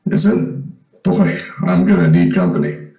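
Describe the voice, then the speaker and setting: Man playing a slow, hesitant young man, echoey. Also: on the high side, wildly swooping pitch, gravelly, mellow, lo-fi sound, heavily acted. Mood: apologetic. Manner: hesitant, halting. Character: uneasy young man returned home, posing as lost son. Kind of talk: old-time radio drama acting. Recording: roomy, echoing recording.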